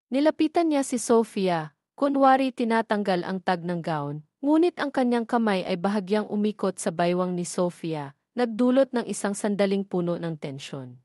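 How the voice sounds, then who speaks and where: A woman reading a romance story aloud as narrator, in a steady, even storytelling voice. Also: medium pitch, no echo, studio quality.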